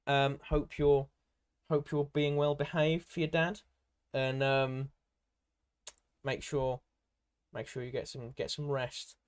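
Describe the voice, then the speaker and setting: A man's voice: medium pitch, little pitch movement, slow, pauses often, clear, resonant tone. Man chatting casually on a livestream. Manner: rambling, hopping between comments, informal and matey. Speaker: livestream host and content creator. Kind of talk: casual live stream chat, answering viewer comments.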